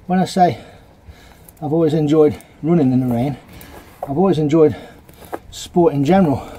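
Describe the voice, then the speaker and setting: A man's voice: medium pitch, a little mellow. A man, chatting casually and matter-of-factly to camera as a vlogger. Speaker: vlogger and youth football coach. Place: changing spots: rooms at home, doorstep, open outdoors. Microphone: phone at arm's length. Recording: clear background noise, outdoor ambience.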